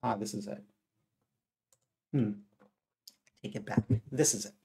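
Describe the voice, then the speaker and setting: Man with a cold, gravelly voice. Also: lowish voice, measured pace. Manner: steady explaining with frequent uh and self-corrections. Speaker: live coding streamer. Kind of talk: thinking aloud while live coding.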